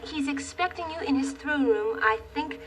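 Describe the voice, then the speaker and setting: A friendly lady messenger, very high in pitch, in a children's television style. She sounds a little away from the mic, with faint noise in the recording. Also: normal speed, a bit bright, distant mic, rough recording. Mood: friendly, polite. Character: friendly young lady messenger of a puppet kingdom.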